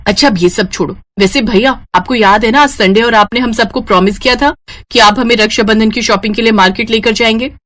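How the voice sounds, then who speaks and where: A woman's voice: a little high, fairly quick, fairly bright tone. A woman voicing a caring, affectionate young sister who scolds fondly and expressively in a dramatized family story. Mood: teasing, pleading.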